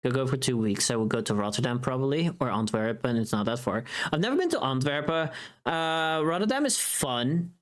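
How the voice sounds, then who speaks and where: VTuber stream host, medium pitch, off-the-cuff and teasing, casual rambling chat with light swearing. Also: lively intonation, moderate pace. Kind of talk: casual livestream chat with viewers.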